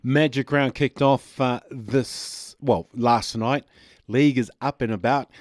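A man's voice: mid-range voice, fairly even intonation, takes it slowly, no echo, clean studio sound. A man hosting a radio sports show, setting up topics and steering the talk with short prompts. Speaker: radio host.

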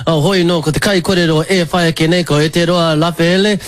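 A New man hosts a radio interview in a relaxed, friendly way. He slips easily between Māori and English, with a slightly higher voice. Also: fast. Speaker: radio host.

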